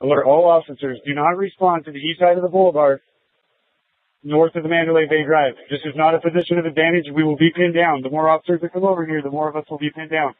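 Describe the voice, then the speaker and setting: Male officer, urgent clipped commands, somewhat raised pitch, dry scanner radio. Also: fairly even intonation, quick pace, no room sound. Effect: police radio. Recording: phone-like police scanner radio audio.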